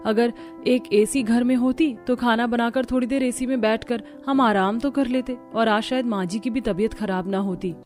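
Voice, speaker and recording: High-voiced, theatrical young housewife played by a woman. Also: moderate pace, soft background music, lightly acted. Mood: weary. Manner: expressive, theatrical. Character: young working sisters-in-law, lively and put-upon housewives. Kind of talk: Hindi cartoon story acting.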